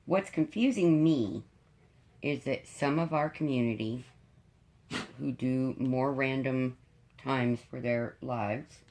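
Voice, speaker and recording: A middle-aged woman with a cold, sounding raspy, deep and congested, chatting casually to her viewers from home. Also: slow, a little mellow. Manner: chatty, rambling, frank. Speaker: diamond painting YouTuber.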